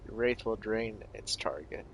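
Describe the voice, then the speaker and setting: A man's voice: on the low side, unhurried, slight background noise, poor audio. A man giving casual live commentary as he plays a video game.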